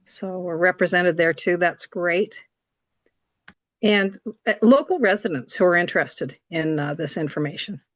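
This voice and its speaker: A woman with a scratchy, slightly hoarse voice giving an informal explanatory presentation, polite and somewhat hesitant. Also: fairly low pitch, normal speed, dry recording. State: hoarse from allergies. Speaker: report coordinator, presenter. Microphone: video call.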